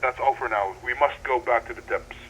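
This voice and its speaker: An earnest male researcher, played by a man, keeps an audio log in an analog horror story. His voice is mid-pitched and his wording a little formal, full of wonder at discovery. The recording has faint radio-like noise. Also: a little fast, fairly bright tone, close to an everyday voice, poor audio. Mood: pensive, puzzled. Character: excitable male deep-sea researcher keeping an audio log.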